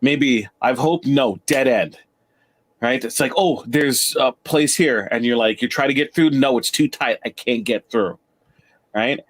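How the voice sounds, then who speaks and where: A man's voice: mid-range voice, unhurried. A man debating casually as a show guest, driving his points home with emphatic repetition.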